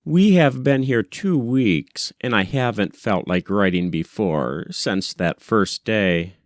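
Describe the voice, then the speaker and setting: Unhurried, expressive male audiobook reader. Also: lowish voice, no echo, clean studio sound. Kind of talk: expressive literary narration of a first-person story.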